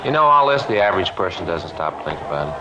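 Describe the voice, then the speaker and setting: A man's voice: sits low, big pitch swings, fast talker, a crowd in the background. An American man playing a chatty, easygoing police detective's partner, musing and folksy, in 1950s radio drama style. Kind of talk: 1950s radio acting, conversational. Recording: dull, noisy vintage radio recording.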